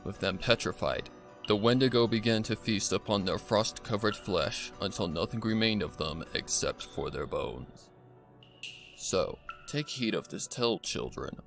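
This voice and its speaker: A man's voice: on the low side, a little slow, vocal fry. A man reading a scripted horror story as a dramatic narrator, with music behind him. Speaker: fan fiction narrator. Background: background music.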